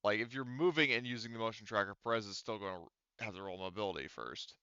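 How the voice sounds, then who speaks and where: Guy game mastering, really smooth clean voice, kinda low, halting as he thinks out loud. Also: no echo, muffled recording. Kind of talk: running a tabletop roleplaying game, casual improvised explaining.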